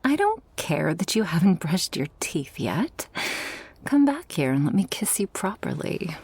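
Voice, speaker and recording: A woman voicing an affectionate, protective, dominant shark girl in an intimate ASMR roleplay, with a breathy voice that often drops to a whisper. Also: lowish voice, very animated, a little mellow, full character voice. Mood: affectionate, curious. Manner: slow, teasing, tender and commanding.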